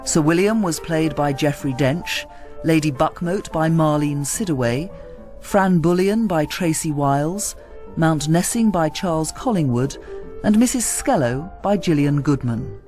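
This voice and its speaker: A man's voice: on the high side, unhurried, darkish tone, faint music behind. A man with a British accent reading scripted credits as a radio announcer, formal and orderly.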